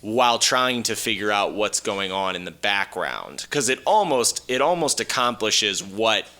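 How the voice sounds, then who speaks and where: A man with a fairly low voice, bright and very clear. He talks as a podcast host in casual discussion, stating opinions with emphasis. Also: sing-song, measured pace. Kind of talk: casual film and video game discussion.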